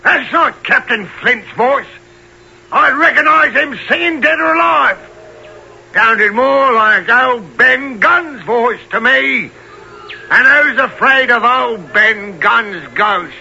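A crafty pirate ringleader with a high, gravelly voice. He talks in theatrical, swaggering fashion, drawing words out with big swoops. The adventure drama recording is dull and lo-fi. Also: sharp tone, heavily acted. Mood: rousing, blustering. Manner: theatrical, drawn-out piratical talk. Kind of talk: dramatized adventure story acting. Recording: dull lo-fi radio-like recording, faint noise.

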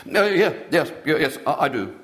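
A man's voice: fairly high pitch, big pitch swings, normal speed, a bit bright, voice pushed up. A man reading a storybook aloud and voicing a proud, sulky eleven-year-old boy who stammers when cornered. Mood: flustered. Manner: stammering, grudging, defensive.

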